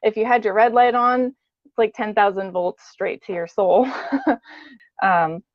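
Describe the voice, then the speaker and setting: A woman's voice: mid-range voice, fairly even intonation, unhurried, no room sound, dull audio. A woman giving a casual, matter-of-fact personal account as an interview guest.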